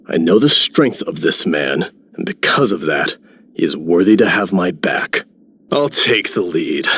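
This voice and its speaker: A man playing a proud, battle-hardened warrior in a video game, speaking in a firm, solemn, husky voice. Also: deep voice, a bit bright, full character voice. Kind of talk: scripted game character voicelines.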